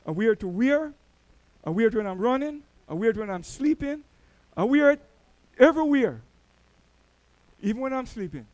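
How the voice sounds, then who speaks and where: A middle-aged man from Jamaica with a Jamaican accent, preaching a sermon as a church elder and telling stories that swell louder on key words. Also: extremely high pitch, big pitch swings, moderate pace, pauses often, no echo, poor audio.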